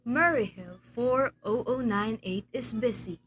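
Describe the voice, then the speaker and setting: A woman playing a polite, measured telephone operator with a formal manner in an amateur radio play. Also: fairly high pitch, a bit bright. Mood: polite, detached.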